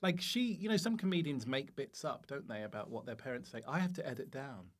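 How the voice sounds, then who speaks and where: A man's voice: medium pitch, expressive. A man chatting as a comedian guest on a podcast, telling anecdotes in a gushing, campy manner and acting out what people said. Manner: campy, gushing, quick to act out quotes. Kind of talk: animated anecdotal podcast chat.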